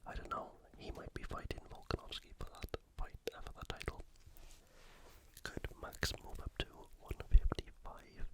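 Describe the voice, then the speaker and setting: A person whispering breathily and close to the microphone, giving an unhurried, soft-spoken ASMR sports recap. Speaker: ASMR YouTuber.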